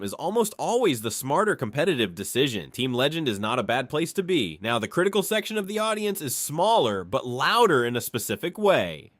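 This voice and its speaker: A man delivering scripted reaction commentary in a measured, articulate way with emphatic phrasing. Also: medium pitch, a bit bright, dry recording, studio quality.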